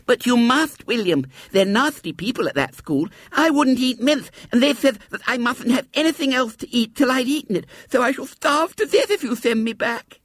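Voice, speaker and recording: A man voicing a small, shrill, spoilt schoolgirl who is lisping, stubborn and demanding, in a children's storybook reading. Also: lively intonation, moderate pace, few pauses, a bit bright, no room sound, full character voice. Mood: pleading, threatening.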